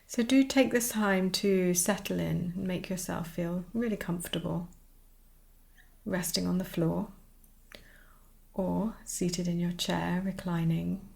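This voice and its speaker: A woman teaching a guided meditation, speaking soothingly in short, unhurried phrases with pauses. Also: lowish voice, steady tone, creaky, a little mellow.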